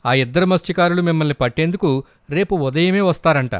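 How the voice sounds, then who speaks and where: Man voicing an urgent, high-pitched kindly crocodile. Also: a little fast, smooth, dry recording, studio quality, close to an everyday voice. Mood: urgent warning. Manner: urgent, earnest. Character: kind crocodile urgently warning its neighbours.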